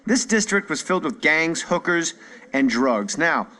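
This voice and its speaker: An actor playing a slick, politely ruthless corporate executive giving a rehearsed pitch in a game cutscene. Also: male voice, sing-song, unhurried, bright, forceful tone, exaggerated voice. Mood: polished. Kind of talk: rehearsed PR pitch on a talk show.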